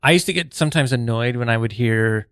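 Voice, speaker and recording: A man's voice: mid-range voice, fast, a bit bright, smooth, no echo, clean studio sound. A man talking casually as an improv teacher, hedging his opinions in a tentative way. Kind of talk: casual discussion.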